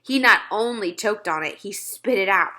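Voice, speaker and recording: A woman's voice: mid-range voice, expressive, normal speed, pushed and bright. A woman storyteller reading a children's book aloud as scripted narration.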